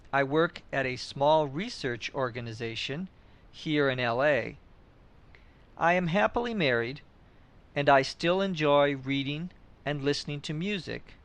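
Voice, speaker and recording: A middle-aged man from Minnesota hosting an English lesson podcast, speaking clearly and deliberately as he explains expressions. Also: fairly high pitch, fairly bright tone. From Minnesota, USA. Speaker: podcast host and English teacher. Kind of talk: scripted English lesson for learners.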